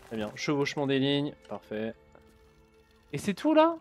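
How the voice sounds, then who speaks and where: A man's voice: medium pitch, huge pitch swings, a little slow, soft background music. A man streaming a video game, joking casually and reading in-game text aloud. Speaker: gaming streamer. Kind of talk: casual let's-play commentary.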